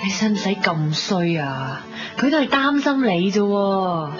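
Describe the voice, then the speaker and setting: A frank, worldly Hong Kong woman played by an actress teases and nags in slangy Cantonese. Her voice is mid-pitched, with sighs, in a dull radio drama recording with music underneath. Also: unhurried, natural voice. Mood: blunt, exasperated. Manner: blunt, sighing, animated nagging with slang. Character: frank, worldly, teasing female friend scolding and helping. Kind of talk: 1990s radio drama acting.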